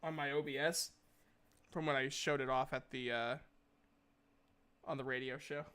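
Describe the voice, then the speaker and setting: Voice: medium pitch, steady tone, normal speed, a bit bright, clean tone. A game master hosting a livestream, chatting casually and recapping a tabletop game in an informal, sweary way. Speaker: game master and stream host.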